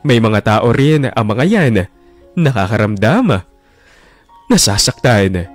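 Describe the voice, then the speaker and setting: A man's voice: lowish voice, very animated, slow, soft background music. An actor narrating in first person as a jealous young man, bitter and resentful, in a radio drama. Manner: bitter, resentful inner monologue. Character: jealous young man brooding over his ex.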